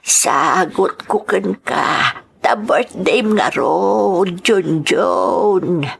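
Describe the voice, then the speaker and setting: An elderly, ailing Ilocano grandmother, acted by a woman in a radio drama. She speaks very slowly, drawing out her words and exclaiming, yet stays kindly underneath. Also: lowish voice, gravelly, slight background noise, heavily acted. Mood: frail, tender.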